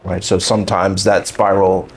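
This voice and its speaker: A man with a low-pitched voice talks as a guest educator in an interview. His phrasing is measured and reflective. There is faint noise in the room behind him. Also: level tone. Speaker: guest performing arts educator.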